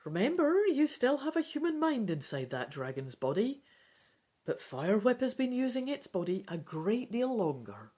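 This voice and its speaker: A woman's voice: fairly high pitch, sing-song, moderate pace, warm tone. A woman voicing a brave, protective female adventurer in a fantasy storybook, speaking earnestly and emphatically. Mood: determined, caring.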